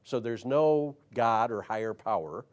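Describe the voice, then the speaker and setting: A man's voice: medium pitch, animated, unhurried. A man hosting a casual talk show, rambling and cutting himself off mid-sentence. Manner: rambling, self-interrupting.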